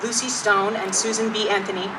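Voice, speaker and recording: A woman's voice: medium pitch, steady tone, a little fast, lightly acted. Stately 1890s woman orator, as a woman reads her. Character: dignified woman orator of the 1890s addressing a women's assembly. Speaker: actor reading a historic speech. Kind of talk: dramatic recitation of a speech. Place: outdoors at a rally. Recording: distant mic with loud outdoor noise.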